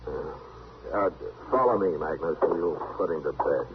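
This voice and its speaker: An American actor playing a steady, wry frontier town marshal in an old-time radio western, speaking in a measured, dry way. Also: male voice, sits low. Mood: concerned, puzzled. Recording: tinny, hissy old radio recording.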